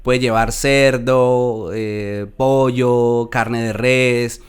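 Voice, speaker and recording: A man with a Colombian accent hosting a casual interview, unhurried and thoughtful, often restarting his sentences. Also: medium pitch, little pitch movement, clean tone. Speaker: podcast host.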